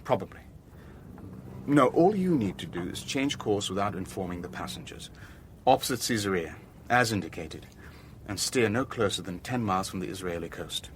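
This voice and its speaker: A shrewd, weary shipping boss in a radio spy drama. His voice sits low and he speaks in measured, clipped phrases, deliberate in narration and dialogue alike, over noticeable background noise. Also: lively intonation. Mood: guarded, controlled. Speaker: narrator and lead character.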